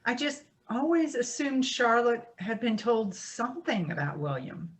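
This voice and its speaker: Actress doing a coaxing peacemaker lady in a family stage drama, tentative, very lively up n down voice. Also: on the high side, a little slow. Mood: placating, concerned. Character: conciliatory, gently meddling woman, family peacemaker. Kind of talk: staged play reading.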